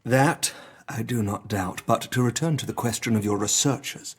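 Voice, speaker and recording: An actor playing a reserved, educated gentleman in an audiobook drama, speaking in a measured, politely doubtful way. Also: medium pitch, breathy edge, natural voice. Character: sceptical, educated gentleman listener, reserved and patient.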